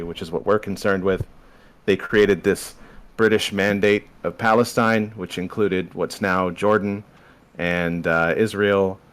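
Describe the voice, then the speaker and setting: Canadian man explaining history calmly. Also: low-pitched. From Southern Ontario, Canada. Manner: explanatory, measured, walks through history step by step. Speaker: podcast guest. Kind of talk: casual discussion.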